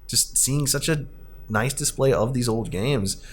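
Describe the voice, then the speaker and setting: A man in his thirties hosting a casual discussion of films and arcade games, halting and often correcting himself. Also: medium pitch. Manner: rambling, halting, self-correcting. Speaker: podcast host.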